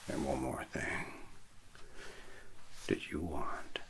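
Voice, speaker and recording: Noir arms dealer, deadpan seller, whispered by a man, gravelly and slow. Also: full character voice. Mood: sly. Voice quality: low creaky whisper. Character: shady male arms dealer at a burger counter. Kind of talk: whispered ASMR noir roleplay. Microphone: close sensitive ASMR mic.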